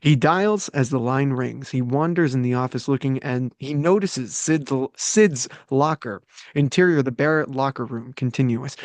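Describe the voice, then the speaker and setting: Brisk, sweary man reading stage directions. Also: mid-range voice, no echo. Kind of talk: casual table-read narration.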